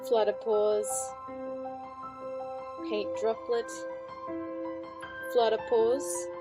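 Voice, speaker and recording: A woman's voice: fairly high pitch, flat delivery, extremely slow. A woman giving casual, enthusiastic reaction commentary as the host, with music behind her.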